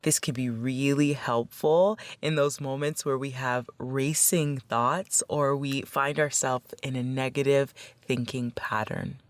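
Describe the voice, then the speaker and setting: A woman leading a guided meditation as a facilitator, speaking in a gentle, measured, instructional way. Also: a bass voice, steady tone, no echo.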